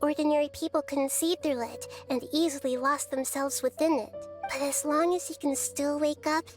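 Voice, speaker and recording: A woman voicing a gentle, wise little girl deity in a video game, light and youthful, speaking in a measured, thoughtful way. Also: sits high, steady tone, lightly acted. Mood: gentle, reflective. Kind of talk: scripted video game dialogue. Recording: music bed under the voice. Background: game music.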